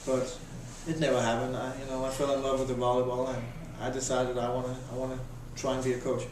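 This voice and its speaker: Danish guy in his 30s, flat voice, lots of uh and restarts, far off mic in a really echoey room. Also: medium pitch, noisy background. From Denmark. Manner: halting, many restarts and fillers. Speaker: interviewee, volunteer volleyball coach. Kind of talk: casual interview answers.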